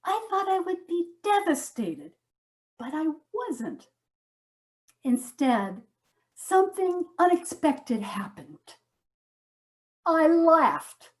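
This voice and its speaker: A high female voice of an actress swings widely as a yoga student marveling at her own freedom. She is dramatic and wonder-struck, leaving emphatic pauses, like a comic stage monologue. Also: exaggerated voice. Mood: amazed, gleeful. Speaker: amateur stage actor.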